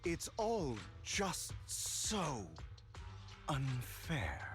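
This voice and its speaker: A suave game phantom thief, super polite n theatrical, voice swings around, music under it. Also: male voice, a little high, sing-song, extremely slow, heavily acted. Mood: grave, idealistic. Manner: smooth, grandiose, elaborately polite speech. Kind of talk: scripted video game voice acting.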